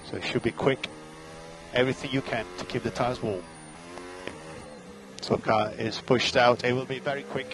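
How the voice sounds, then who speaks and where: A man's voice: mid-range voice, gravelly. Guy on f1 style team radio, race engineer reading out numbers, clipped and terse, lots of vehicle noise behind. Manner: clipped, terse data readouts. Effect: team radio transmission. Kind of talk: motor racing team radio instructions.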